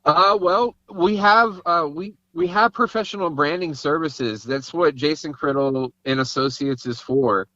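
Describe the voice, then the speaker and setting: A man's voice: on the high side, unhurried, hardly any pauses, sharp tone, no room sound, lo-fi sound. A man chatting casually as an interview guest.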